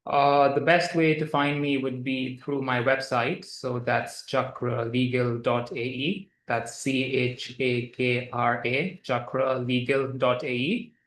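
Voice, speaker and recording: A man, a lawyer explaining procedures to an interviewer in a steady, matter-of-fact way. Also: medium pitch, takes it slowly, hardly any pauses. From grew up in India. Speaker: lawyer, guest. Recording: echoey room.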